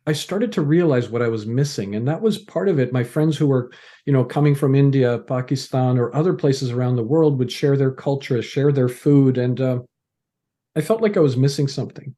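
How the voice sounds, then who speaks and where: A man's voice: mid-range voice, moderate pace, mellow. A middle-aged man from Canada, a podcast guest talking casually, explaining ideas through stories and analogies with frequent restarts. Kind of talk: casual interview conversation.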